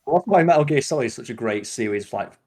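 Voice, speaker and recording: A man's voice: medium pitch, lively intonation, fast, darkish tone. A man hosting a podcast in casual chat, with a sweary, opinionated way of talking. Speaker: podcast host.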